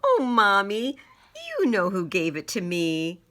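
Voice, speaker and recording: A woman voicing an excited, stubborn little girl in a children's storybook, with an eager, insistent delivery. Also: mid-range voice, unhurried. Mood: delighted.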